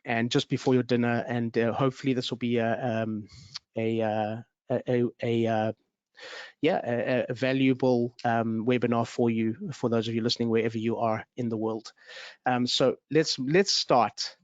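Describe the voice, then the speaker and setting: A man's voice: mid-range voice, steady tone, moderate pace, dry recording. Host: man in his forties, friendly and rambling, filler-heavy delivery; dry, slightly muffled video call sound. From South Africa. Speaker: webinar host, realtor. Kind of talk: online webinar presentation.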